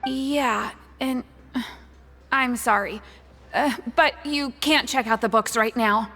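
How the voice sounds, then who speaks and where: A woman's voice: on the high side, takes it slowly, bright, forceful tone, heavily acted. A woman voicing a timid, guarded young female character in a video game, speaking hesitantly and apologetically. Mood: nervous, apologetic.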